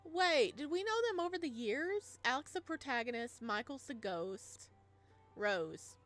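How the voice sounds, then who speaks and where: A woman giving casual let's play commentary as a gaming host, with excitable, gushing reactions. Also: high voice, measured pace, faint music behind.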